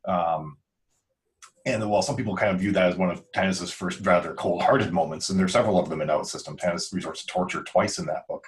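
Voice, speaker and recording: A man with a very low voice talks casually as part of a podcast crew. He sounds like an author chatting informally about creative work. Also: quick pace.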